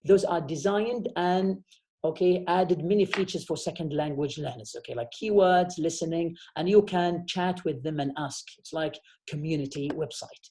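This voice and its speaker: A man's voice: on the high side, normal speed, warm tone, a little hiss or hum. A man lecturing online, explaining step by step and checking in with "okay?" after nearly every phrase. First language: Arabic. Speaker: university professor. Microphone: video call.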